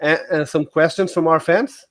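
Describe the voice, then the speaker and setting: A man's voice: fairly high pitch, fairly even intonation, moderate pace, a bit bright, no echo, clean studio sound. A man with a Portuguese accent, casually hosting a livestream chat with a guest and fans. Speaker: livestream host.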